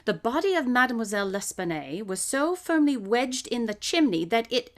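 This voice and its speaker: An actress in a live radio drama playing a composed woman who reads out evidence in a measured, articulate way. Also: fairly high pitch, sing-song. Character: woman reading out police evidence, composed, precise. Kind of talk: live radio drama, formal report reading.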